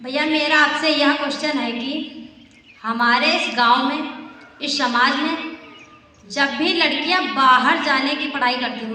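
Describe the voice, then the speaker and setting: A woman's voice: high-pitched, bright, forceful tone. A woman, a student, asks a polite, formal question at an event. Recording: echoey hall, from far off.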